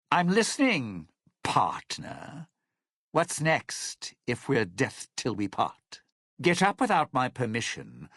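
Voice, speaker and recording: Sly cynical showman, video game character, animated pitch swings, unhurried, wry menace, dry close sound. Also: no room sound, heavily acted. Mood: sly, mocking, then sardonic threat. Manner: wry, sardonic, smooth-talking menace. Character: cynical, threatening male lounge entertainer, sly post-apocalyptic survivor.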